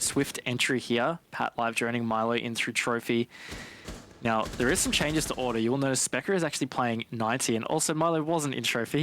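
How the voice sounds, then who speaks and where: A male esports analyst talks through a live match in a conversational way. His voice is medium in pitch, with faint noise and clicks behind it. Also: fairly bright tone.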